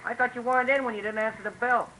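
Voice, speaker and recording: A man's voice: very high-pitched, fairly even intonation, rapid-fire, pushed and bright, slight background noise, low-quality recording. An actor playing a polite building doorman, in 1940s radio drama style, matter-of-fact. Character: polite male doorman, plain and helpful.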